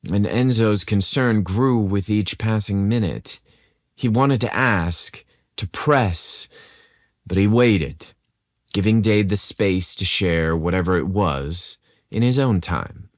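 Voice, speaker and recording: A man narrating a scripted romantic story as a podcast host, in a measured, deliberate storytelling manner. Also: lowish voice, dry recording, clean studio sound.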